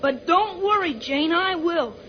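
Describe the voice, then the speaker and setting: A woman's voice: very high-pitched, lively intonation, unhurried. A woman voicing a plucky, eager boy space pilot in an old-time radio serial. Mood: determined, unsure. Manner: eager, boyish. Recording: dull, noisy old radio recording.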